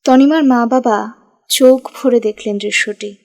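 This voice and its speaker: A woman's voice: on the high side, steady tone, unhurried, a little mellow. A woman narrating a scripted audio love story.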